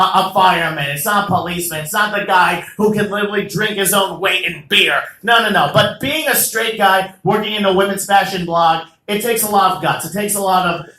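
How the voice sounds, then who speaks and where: A young man doing a stand-up comedy set as the host of an open mic, animated and jokey, with loud bursts on the punchlines. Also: high voice, reverberant, poor audio. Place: small comedy venue.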